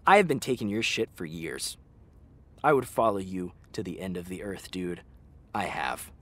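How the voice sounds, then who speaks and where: A man's voice: sits low, expressive, normal speed, a bit bright. A man playing a hurt, fiery actor fed up with an old friend, speaking emphatically and sarcastically in a naturalistic audio drama. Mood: bitter, wounded.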